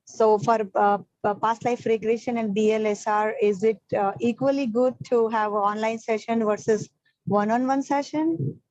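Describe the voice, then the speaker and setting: A woman's voice: fairly high pitch, steady tone, moderate pace, a little mellow, no echo, lo-fi sound. Indian woman asking, close dry sound. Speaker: audience questioner. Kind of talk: hesitant spontaneous question.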